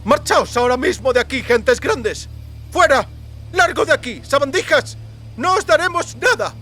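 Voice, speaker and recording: A man's voice: extremely high pitch, lively intonation, normal speed, bright, forceful tone, voice pushed up, full character voice. A man voicing a small, fierce halfling farmer and family head in an audiobook, speaking tersely and firmly. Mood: defiant anger. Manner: terse, firm, defiant. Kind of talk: audiobook character acting.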